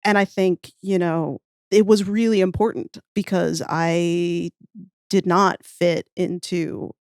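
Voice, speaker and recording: A woman with a slightly low voice speaks casually as a podcast guest, close and dry with no room sound. She is thoughtful and wry, with a nerdy enthusiasm for what she discusses. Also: fairly even intonation, slow, a little mellow, no echo. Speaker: podcast guest, audio fiction writer. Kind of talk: casual interview conversation.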